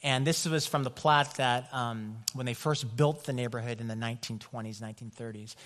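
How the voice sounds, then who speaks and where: American pastor preaching in a reverberant hall. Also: male voice, mid-range voice, fairly even intonation, fairly quick, fairly bright tone. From California, USA. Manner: conversational, loose, many fillers. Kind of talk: sermon with personal stories.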